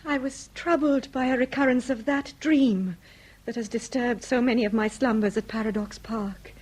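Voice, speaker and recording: A woman playing a delicate, high-flown aristocratic lady in a period comedy radio drama, phrasing everything dramatically. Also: normal speed, a little mellow, lightly acted. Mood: troubled.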